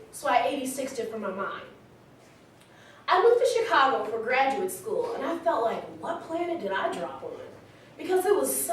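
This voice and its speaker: A woman playing a candid, smitten young woman telling her life story conversationally in a staged reading, recorded in an echoey hall. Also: high voice. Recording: very echoey hall, distant mic, faint noise.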